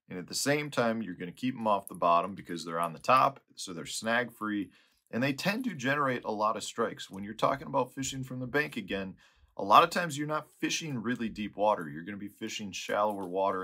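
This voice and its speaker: A man in his forties with a Midwestern American accent talks in a lowish, forceful voice. He explains things conversationally, like a guide giving practical tips to viewers. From Chicago area, United States. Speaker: fishing guide and video host. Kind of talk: informal instructional talk to camera. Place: small indoor tackle room.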